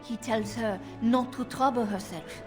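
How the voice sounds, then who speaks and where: Actress as high-voiced loyal handmaiden. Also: female voice, unhurried, music in the background, close to an everyday voice. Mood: worried. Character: discreet, anxious, loyal royal handmaiden.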